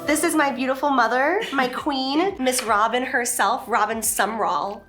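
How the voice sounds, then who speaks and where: A woman's voice: sits high, music in the background. A woman in her thirties hosting a beauty vlog, talking in an emotional, gushing way with a nasal, cold-stuffed voice. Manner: gushing, emotional, talks fast. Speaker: beauty vlogger. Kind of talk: casual chat.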